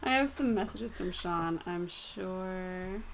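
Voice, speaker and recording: A woman's voice: on the low side, slow pace, creaky, slight background noise. A giggly, playful woman chatting casually as a player in an online tabletop game. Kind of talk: casual chat, reading out viewer comments.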